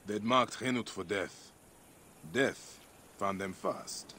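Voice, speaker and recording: An actor playing a solemn, resolute ancient Egyptian warrior in a dramatic game cutscene. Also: male voice, on the low side, slow, sharp tone, a little hiss or hum. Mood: grim, resolute.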